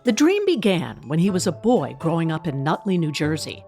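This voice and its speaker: An animated woman narrator tells a story in polished, scripted documentary style. Her knowing delivery rises and falls freely over a clear music bed. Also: low voice, moderate pace. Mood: knowing, storytelling.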